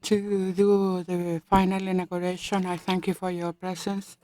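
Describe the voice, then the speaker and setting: A woman giving simultaneous interpretation of a conference speech, halting, with fillers and self-corrections. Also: fairly low pitch, level tone, warm tone.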